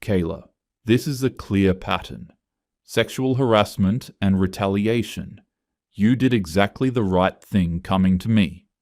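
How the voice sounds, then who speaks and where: Man playing officious HR woman in a story, deep register, clipped, sure of herself. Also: a little slow, a little mellow, no echo, studio quality. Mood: firm, reassuring. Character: self-assured, cold female human resources investigator.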